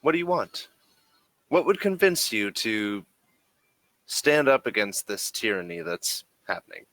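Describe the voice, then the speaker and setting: A man voicing a persuasive, condescending corporate agent in a tabletop role-playing game, with measured, probing speech. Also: fairly low pitch, very animated, fairly bright tone, no room sound.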